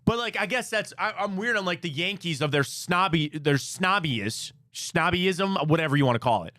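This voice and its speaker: Male sports talk host with a Cleveland Midwest accent: raised voice, very lively pitch, opinionated, rambling. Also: moderate pace, dry recording, clean studio sound. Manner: animated, opinionated rambling with restarts. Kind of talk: casual sports talk chat.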